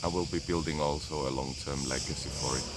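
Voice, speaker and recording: A hoarse man with a summer cold, hosting a live video and slowly telling a personal story with pauses and restarts. English is not his first language, and he is outdoors. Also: a bass voice, fairly even intonation. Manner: slow, rambling, pauses and restarts, laughs softly. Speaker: group host doing a live video. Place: outdoors, with noise around. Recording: loud outdoor noise with insects.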